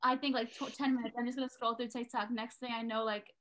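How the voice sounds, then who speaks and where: A woman's voice: a little high, level tone, quick pace, fairly bright tone. A young woman chatting casually with friends, with many fillers. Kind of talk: casual group chat.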